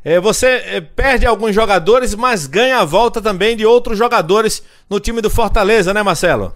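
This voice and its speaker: A man's voice: sits high, moderate pace, a bit bright. A man hosting as a sports reporter, asking direct, informal interview questions. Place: Recife, Brazil.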